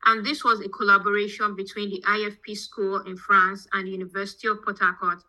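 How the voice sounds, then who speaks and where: A woman's voice: medium pitch, level tone, a little fast, bright, forceful tone, poor audio. A middle-aged woman with a Nigerian accent, answering interview questions conversationally as an academic guest. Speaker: podcast guest, petroleum engineering professor. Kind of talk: conversational interview answers, telling own background.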